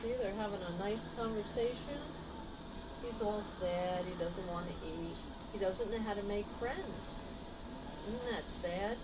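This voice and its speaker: Slow-paced woman reading to children, noisy recording. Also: mid-range voice. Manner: explains pictures simply, checks in with questions. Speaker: storybook reader. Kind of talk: read-aloud story with chatty asides to young children.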